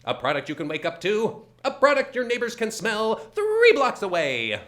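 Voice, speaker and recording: Bombastic macho radio pitchman, shouting high. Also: wildly swooping pitch, normal speed, a little hiss or hum, full character voice. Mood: loud hard sell. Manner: shouting, emphatic salesman. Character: bombastic macho male shaving cream pitchman. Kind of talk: old-time radio advertisement acting. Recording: music behind, live stage.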